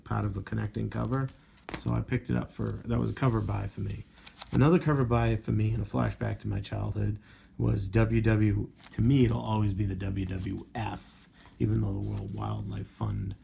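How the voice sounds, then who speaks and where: A man of around forty, hoarse from losing his voice, chatting casually through a show-and-tell review with lots of "um"s. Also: fairly low pitch, dark tone, slight background noise. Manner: rambling, filler-heavy, enthusiastic about covers. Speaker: comic book collector vlogger.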